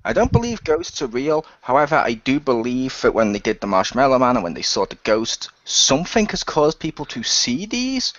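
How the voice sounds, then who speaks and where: A man's voice: mid-range voice, sing-song, moderate pace, hardly any pauses, a bit bright, no echo. Man acting as wisecracking skeptic ghost hunter, sarcastic, improvised radio play, close dry sound, slightly dull recording. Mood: skeptical, sarcastic. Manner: sarcastic, chatty.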